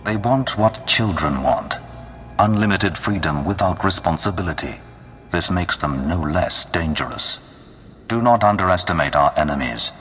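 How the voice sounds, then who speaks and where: Game villain: dark imperial overlord, menacing and grandiose, bottomless bass pitch, music underneath. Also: male voice, animated. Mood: imperious. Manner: grandiose, slow, commanding. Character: menacing male Sith lord of a dark empire. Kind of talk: video game villain acting. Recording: long cavernous reverb.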